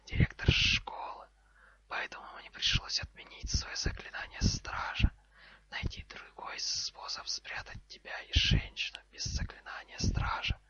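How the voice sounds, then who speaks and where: A man voicing an earnest, composed boy wizard in a storybook, in a deliberate, hissing whisper. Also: muffled recording, close to an everyday voice. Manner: calm, clipped, deliberate.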